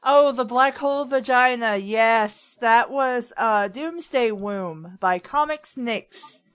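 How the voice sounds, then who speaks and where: A woman with a fairly high voice talks casually as a show host. She rambles through her opinions in a conversational storytelling way. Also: slow, smooth. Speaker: host of a fan fiction reading show. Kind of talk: casual chat.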